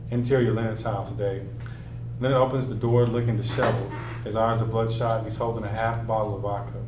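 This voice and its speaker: A man's voice: fairly low pitch, fairly even intonation, fairly quick. A man serving as screenplay narrator, reading stage directions at a table read. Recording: echoey room, distant mic, clear noise.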